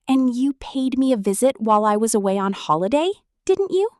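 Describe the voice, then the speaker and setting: Woman voicing a prim, lively little storybook lady. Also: on the high side, a little fast, dry recording, studio quality. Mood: inquiring. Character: fussy, house-proud little lady, gasping at mess.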